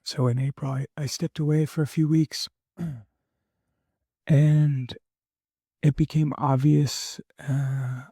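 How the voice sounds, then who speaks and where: A young man losing his voice, talking candidly and haltingly in a reflective personal monologue as a podcast host. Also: a little high, flat delivery, warm tone, breathy edge, no room sound, studio quality. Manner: halting, candid, many fillers and restarts.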